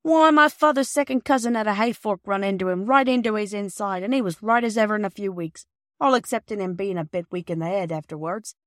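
A woman's voice: medium pitch, brisk, a bit bright, dry recording, studio quality, voice pushed up, lightly acted. A woman voicing a kindly, chatty old male railway porter who speaks in rustic, folksy phrases.

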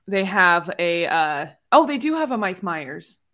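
Female podcaster, bright forward voice, loose conversational delivery. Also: on the low side, very animated, unhurried. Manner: informal, peppered with "like". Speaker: podcast speaker. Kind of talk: casual podcast chat.

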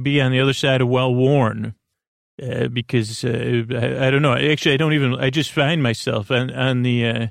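Guy doing a rambly sleep podcast intro, really creaky voice, dry close sound, keeps tripping back over his own sentences. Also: medium pitch, no room sound. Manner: meandering, halting, repeats and interrupts himself. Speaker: podcast host.

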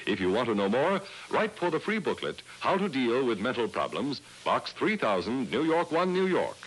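A man's voice: fairly low pitch, slightly rough, poor audio. A man announcing on the radio in the scripted style of the 1960s. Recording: old 1960s radio broadcast with music.

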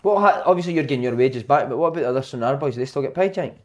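A man hosting a casual football chat, teasing the others with quick-fire questions. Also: medium pitch, animated. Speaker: podcast host.

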